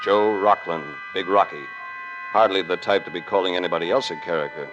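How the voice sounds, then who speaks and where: An actor playing a cool, tough American investigator, narrating and talking in a wry, clipped hardboiled 1940s radio drama style. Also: male voice, deep voice, fairly even intonation, brisk, lo-fi sound, music in the background, natural voice. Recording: noisy old radio broadcast recording.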